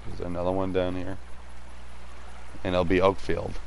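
Guy streaming a farm game, sore throat, super deep gravelly voice, flat delivery. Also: normal speed, slight background noise. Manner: relaxed, rambling, many fillers. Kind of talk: casual gameplay livestream.

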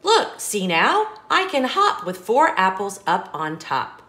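A woman reading a picture book aloud to young children, with expressive emphasis and small asides to the listener. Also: medium pitch, slow pace. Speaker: children's storybook reader.

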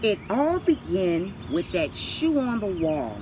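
A woman in her thirties reading a children's picture book aloud like a teacher, deliberate and dramatic, recorded outdoors. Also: mid-range voice, sing-song. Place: outdoors by a brick house. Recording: outdoor ambient noise, birds, vehicles.

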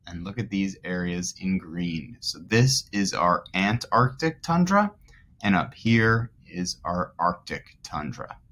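A man in his twenties, a teacher reading aloud to young children and explaining as he goes, deliberately stressing key words. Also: sits low, fairly bright tone. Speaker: first grade teacher.

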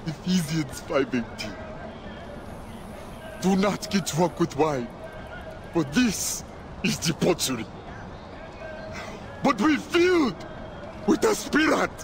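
An actor playing a zealous, middle-aged preacher haunted by sin in a video game, with a grandiose, sermonizing delivery. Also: male voice, a little high, big pitch swings, slow, long pauses, heavily acted. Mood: anguished resolve. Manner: fervent, grandiose, quoting scripture like a sermon. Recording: game audio with clear outdoor ambience noise.

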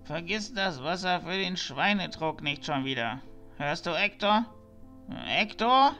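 A man's voice: high voice, animated, measured pace, pushed and bright, faint music behind, heavily acted. A man playing a quirky, scolding old village priest in a fantasy audio drama. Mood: nagging. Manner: nagging, scolding.

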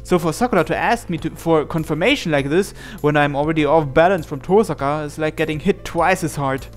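A man's voice: a little high, fairly quick, soft background music. A man reading narration aloud from a visual novel as he plays through it.

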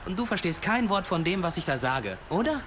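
Ein Schauspieler als naiver, eifriger junger Soldat in einer deutschen Videospiel-Synchronisation, jungenhaft und ernsthaft. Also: male voice, sits high, big pitch swings, a little fast, noisy background. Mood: boastful, playful. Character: naive, eager young American soldier. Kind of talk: German video game dub acting.

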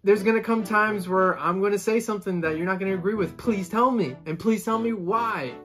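A man's voice: high-pitched, fairly quick, fairly bright tone, faint music behind. A Colombian-born young man in his twenties, a saxophonist vlogger, chatting casually to the camera from a room at home and gesturing with both hands. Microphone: camera in front, seated.

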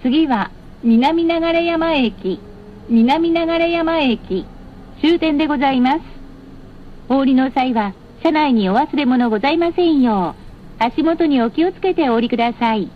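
High-pitched woman making formal bus announcements. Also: measured pace. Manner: formal, polite, carefully enunciated. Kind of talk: scripted stop announcements and sponsor notices. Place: played over a bus's cabin speakers. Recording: muffled, with clear vehicle noise. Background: vehicle noise.

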